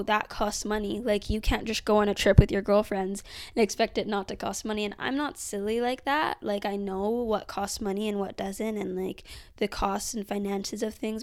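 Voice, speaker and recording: An eighteen-year-old woman chats earnestly to camera in a casual vlog. Her voice is smooth and clear at a middle pitch, and she fills her sentences with ums and likes as she thinks aloud. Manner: chatty, filler-heavy, earnest. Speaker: vlogger. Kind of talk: casual reflective monologue.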